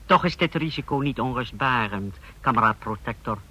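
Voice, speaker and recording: An actor playing a deferential, scheming aide to a dictator in a Dutch radio drama, formal and precise. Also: male voice, medium pitch, lively intonation, normal speed, pushed and bright. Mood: smooth, reassuring.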